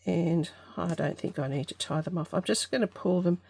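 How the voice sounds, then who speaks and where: A woman hosting a casual craft vlog, congested from an allergy, talking through her work in an unhurried, tentative way as she thinks aloud. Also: on the low side, creaky, a little mellow, breathy edge, no echo. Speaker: craft channel host.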